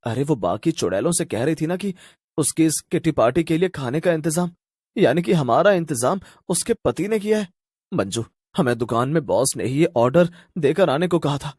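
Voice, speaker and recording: A man's voice: a little high, lively intonation, moderate pace, a little mellow, husky, no room sound, clean studio sound. A man playing a worried young husband in a cartoon story, talking earnestly. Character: worried young husband working at a pizza shop. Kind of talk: animated cartoon story voice acting.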